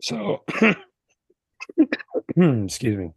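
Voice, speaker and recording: A man's voice: medium pitch, wildly swooping pitch, very slow, creaky, breathy edge, dry recording. A young man co-hosting a podcast, telling a rambling story full of fillers and restarts while he loses his voice. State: losing his voice. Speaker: podcast co-host. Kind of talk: casual chat.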